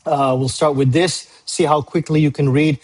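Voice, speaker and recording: A male university English teacher, a native Tagalog speaker, recording a class briefing video; he talks patiently, repeats key dates and fills pauses with "uh". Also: a little high, fairly even intonation, darkish tone, slightly rough. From Philippines. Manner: frequent "uh" fillers.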